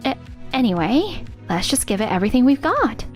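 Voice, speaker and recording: A woman plays a sweet young fiancée from a manga dub, pitched quite high. She is earnest and loyal, gentle yet firm. Soft music runs behind her. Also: sing-song, fairly quick. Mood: cheerful, modest. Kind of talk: animated manga dub dialogue.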